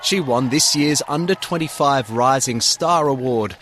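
A man narrating a news report in a measured delivery. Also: mid-range voice, a bit bright, slight background noise.

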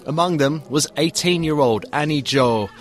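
A man narrating a news report in a measured delivery. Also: a little high, faint music behind.

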